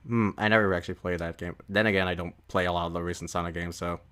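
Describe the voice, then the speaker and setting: A man chatting casually in a halting, repetitive way, leaning heavily on filler words. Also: sits low, steady tone, fairly bright tone, smooth. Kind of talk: casual podcast chat.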